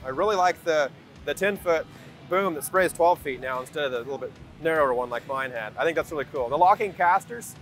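A man's voice: a little high, brisk, a bit bright. A man giving a casual testimonial in an outdoor interview. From Nebraska, United States. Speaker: lawn care business attendee. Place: outdoors at a trade expo. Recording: outdoor interview with faint music.

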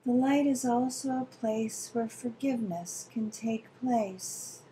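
A woman leading a guided visualization meditation in a soothing, gentle, deliberate manner with long pauses. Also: fairly high pitch, a little hiss or hum, poor audio.

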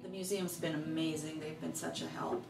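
A woman's voice: deep voice, fairly even intonation, normal speed. A middle-aged woman speaking casually in an interview about her own work. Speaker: author of a local history book, interviewee. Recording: echoey, distant mic, loud music underneath. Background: guitar music.

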